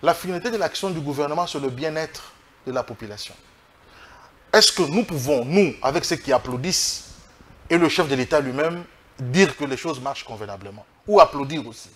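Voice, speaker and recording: Higher-voiced Congolese man arguing emphatically. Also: lively intonation, brisk, a bit bright, a little hiss or hum. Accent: Congolese French. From Democratic Republic of the Congo. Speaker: political guest, lawyer. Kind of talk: political interview, spoken argument.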